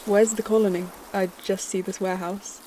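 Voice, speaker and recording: A woman's voice: medium pitch, level tone, normal speed, dark tone, slight background noise, natural voice, poor audio. A woman playing a wry human newcomer to space in a science-fiction audio drama, casual, dry and understated. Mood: wry, uncertain.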